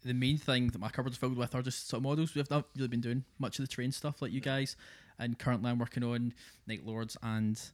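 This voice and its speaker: A man's voice: mid-range voice, fairly even intonation, fast, few pauses. A man co-hosting a casual group podcast, teasing the others in a playful way. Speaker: podcast co-host.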